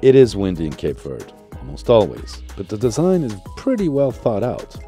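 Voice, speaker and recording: A man narrating his sailing vlog in a calm, reflective voice-over. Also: on the low side, moderate pace, faint music behind.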